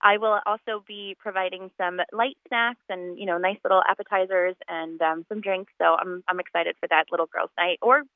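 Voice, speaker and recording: A woman's voice: fairly low pitch, steady tone, moderate pace, few pauses, dry recording. Chatty woman on a tinny phone line. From Poland. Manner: chatty, with many ums and restarts. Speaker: guest fundraiser organizer. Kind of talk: casual radio interview by phone.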